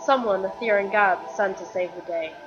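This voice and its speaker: A woman's voice: mid-range voice, normal speed, highs cut off, over music, close to an everyday voice. A woman playing a weary, guilt-ridden warrior and reluctant champion, telling her story earnestly in a fan-made fantasy drama. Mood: reflective. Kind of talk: dramatic dialogue in a fan-made motion comic.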